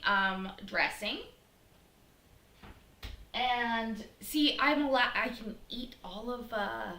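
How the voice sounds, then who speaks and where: A middle-aged woman with a bright, fairly high voice chats away as she cooks, rambling between fillers and greeting viewers by name. Her home kitchen gives the sound a roomy echo with a faint hiss underneath. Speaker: livestream cooking show host. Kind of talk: casual livestream chat while cooking. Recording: echoey kitchen, faint noise.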